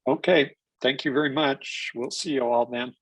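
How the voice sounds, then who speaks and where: A man's voice: mid-range voice, fairly even intonation, moderate pace, a little hiss or hum, rough recording. An older man presenting a genealogy webinar, stuffed up from a cold, rambling with restarts and fillers. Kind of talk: live webinar lecture.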